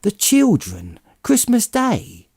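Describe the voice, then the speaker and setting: A kindly, poor Victorian father acted by a man in a storybook. He speaks gently and earnestly, lifted well up in pitch and taking his time. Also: huge pitch swings, slow pace, slightly rough, no echo, studio quality, exaggerated voice. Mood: mild pleading. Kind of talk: dramatized audiobook of a Victorian story.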